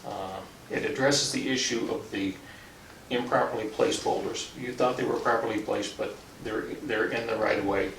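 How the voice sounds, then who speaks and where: A man speaking as a town official in a public meeting, in a halting, deliberate but firm manner. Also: fairly low pitch, steady tone, fairly bright tone. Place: indoor public meeting room. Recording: distant mic, echoey meeting room, clear background noise.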